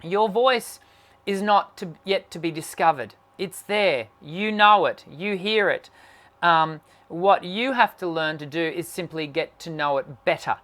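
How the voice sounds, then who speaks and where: A man, a singing teacher hosting a casual live stream, musing aloud and reading out viewer questions. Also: a bit bright. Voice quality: naturally high voice. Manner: musing, reads viewer comments aloud, many fillers and restarts.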